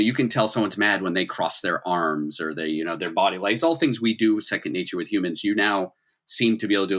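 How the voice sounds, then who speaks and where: Bright-voiced man running a tabletop game. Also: on the low side. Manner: animated explainer, acts out sounds and examples. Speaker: game master. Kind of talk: improvised narration of a tabletop roleplaying game.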